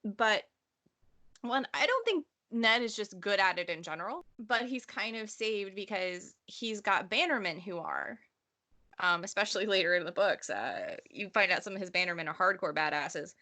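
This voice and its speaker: A woman's voice: mid-range voice, lively intonation, normal speed, bright, forceful tone. A woman co-hosting a casual book discussion, explaining her opinions in a slangy, conversational way. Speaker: podcast co-host.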